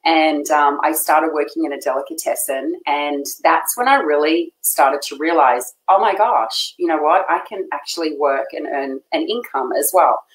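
A middle-aged woman with an Australian accent chats warmly and effusively, laughing often. Her voice sits low, and the room around her sounds echoey on the video call. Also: moderate pace, hardly any pauses. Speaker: guest. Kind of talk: casual chat. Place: home room.

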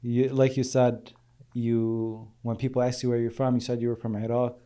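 A young man hosting a podcast interview in a studio, asking direct questions into a boom mic. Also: level tone, moderate pace, dark tone. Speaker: podcast host. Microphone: studio mic on boom arm.

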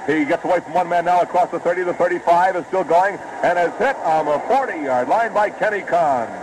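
A man calling a football game play by play on live radio, brisk and rising with the action, on a noisy old broadcast recording. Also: high-pitched. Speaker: play-by-play announcer.

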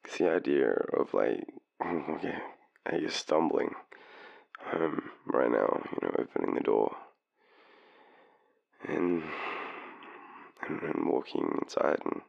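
A man acting a very deep, gravelly tipsy Australian. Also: lively intonation, no echo, highs cut off, full character voice. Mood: tender, nervous. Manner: hesitant, rambling, halting, breathy chuckles. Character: tipsy, shy, lovestruck young man phoning his crush. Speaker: voice actor in boyfriend roleplay. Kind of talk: intimate audio roleplay, one-sided phone call.

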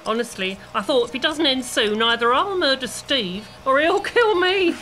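A woman's voice: high voice, animated, normal speed, pushed and bright, a little hiss or hum, low-quality recording. A woman playing a chatty, gossipy, bustling hair salon owner in an audio play, gushing and exclaiming. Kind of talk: amateur audio play acting.

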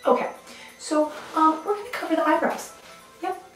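A woman's voice: sits high, moderate pace, recorded from a distance. Playful young woman in an echoey bathroom. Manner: playful, self-mocking, laughs often. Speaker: beauty vlogger. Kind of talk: casual makeup vlog chat. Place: small tiled bathroom, indoors. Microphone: camera mic at arm's length. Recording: echoey bathroom, light background music.

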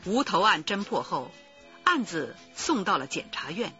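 Bright, dramatic female radio drama narrator. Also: lowish voice, sing-song, a little slow, highs cut off, faint music behind.

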